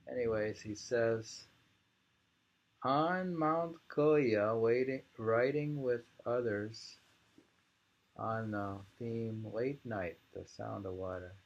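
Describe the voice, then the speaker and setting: A man reading poems aloud in a slow, halting, musing way, repeating lines and adding informal, rambling commentary, on a dull lo-fi recording. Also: medium pitch. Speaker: reader and commentator of poetry.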